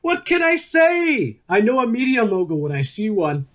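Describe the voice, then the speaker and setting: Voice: mid-range voice, big pitch swings, normal speed, fairly bright tone. Podcast guy, super swoopy intonation, wry n sceptical, casual banter, radio play. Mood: teasing. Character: glib, sceptical male podcast host.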